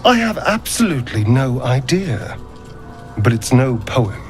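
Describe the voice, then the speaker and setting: A man's voice: mid-range voice, big pitch swings, measured pace, soft background music. An actor playing a guarded, centuries-old male vampire in a game, theatrical and sarcastic, faltering when vulnerable. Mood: bewildered, bitter. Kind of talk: dramatic video game acting.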